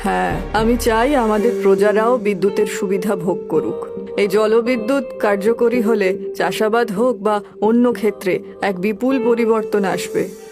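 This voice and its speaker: A woman's voice: medium pitch, natural voice. A woman playing a devoted, dignified landlord's wife in a cartoon, in an earnest, melodramatic manner. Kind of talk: Bengali cartoon voice acting. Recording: music behind the voice.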